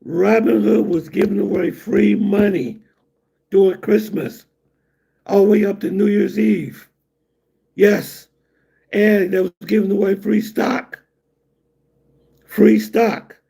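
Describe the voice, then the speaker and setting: A man's voice: high voice, steady tone, takes it slowly, vocal fry, long pauses, mellow, slightly rough. A middle-aged man from the United States hosting a casual livestream and rambling loosely to his viewers. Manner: loose, rambling, laughs at his own jokes. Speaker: livestream host.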